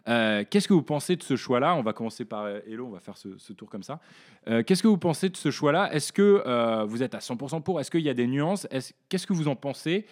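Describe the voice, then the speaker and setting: Young guy from northern france hosting a podcast in his living room, keeps stalling and restarting, mid pitch. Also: animated. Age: young adult. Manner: halting, many hesitations and restarts. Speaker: podcast host. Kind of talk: casual podcast discussion.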